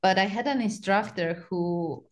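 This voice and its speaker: A woman's voice: mid-range voice, a little slow. A woman from Romania with a Romanian accent, a podcast guest telling her personal story in casual conversation. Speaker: podcast guest, spiritual practitioner.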